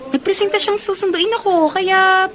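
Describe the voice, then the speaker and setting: A woman's voice: very high voice, fairly even intonation, moderate pace, fairly bright tone, slight background noise. An actress playing a friendly, teasing young college woman in a Filipino radio drama. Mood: playful, teasing. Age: young adult.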